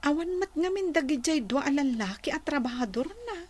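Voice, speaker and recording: An actress playing a bashful young village maiden in a radio comedy drama, with an Ilocano accent. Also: female voice, high voice, animated, brisk. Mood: wistful, bashful.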